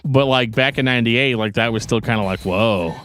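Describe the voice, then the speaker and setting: A man's voice: mid-range voice, fairly quick, a bit bright. A man co-hosting a podcast, casually discussing music in a sarcastic, joking way. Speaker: podcast co-host.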